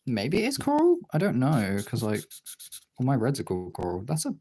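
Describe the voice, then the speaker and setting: Low gravelly streamer voice, swings up and down a lot, rambly self-deprecating thinking out loud, bit of noise and clicks. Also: wildly swooping pitch, fairly quick. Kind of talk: casual livestream chat while drawing.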